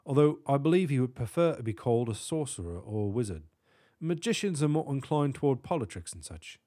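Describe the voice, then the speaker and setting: A man's voice: medium pitch, sing-song, a little fast, mellow, lightly acted. A man voicing a small, frail middle-aged British professor in a storybook, fussy, prim and sly. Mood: proud.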